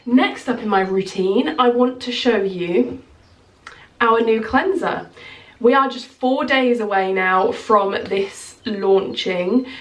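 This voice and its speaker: A woman's voice: a little high, slow pace, a little hiss or hum. A woman in her thirties chatting to camera in a casual vlog, sounding slightly nasal from a cold. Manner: chatty, gestures with hands while talking. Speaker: skincare consultant vlogger. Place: small bedroom at home, indoors. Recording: roomy echo, slightly distant mic.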